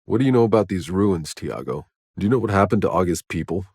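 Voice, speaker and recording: A low, husky, gruff soldier. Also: male voice, lively intonation, fast talker, darkish tone, dry recording, studio quality, heavily acted. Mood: sardonic, casual. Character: gruff, wry, battle-worn male soldier in sci-fi game. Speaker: video game protagonist. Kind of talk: video game voice lines, dramatic acting.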